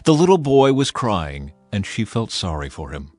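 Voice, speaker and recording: A man reading scripted Bible narration in a measured, expressive storytelling manner. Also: lowish voice, no echo. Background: background music.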